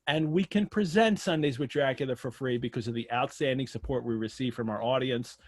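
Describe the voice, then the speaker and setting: A man's voice: medium pitch, a little fast, no room sound, clean studio sound. An American man hosting a live online show, chatting through announcements and questions in a conversational way. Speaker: host of an online literary show. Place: remote online video call.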